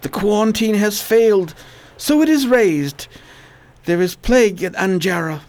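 A man's voice: sits high, expressive, unhurried, a little mellow, no echo. A man playing a sick nobleman in a radio drama, his voice weak and pained. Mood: weak, weary. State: weak, in pain. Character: sick, suffering man of rank, pleading then weary.

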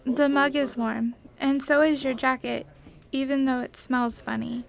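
Woman acting a high-voiced, earnest lost little girl. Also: fairly even intonation, unhurried, long reverb tail. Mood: innocent, earnest. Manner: formal, stilted speech without contractions. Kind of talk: audio drama acting. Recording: clear background hum and noise.

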